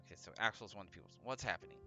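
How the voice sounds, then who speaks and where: A man's voice: lowish voice, very fast, pushed and bright. A man hosting a let's play, reading a visual novel aloud with casual commentary, sometimes stumbling and correcting himself, with music behind him. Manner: stumbles over read text and corrects himself. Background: background music.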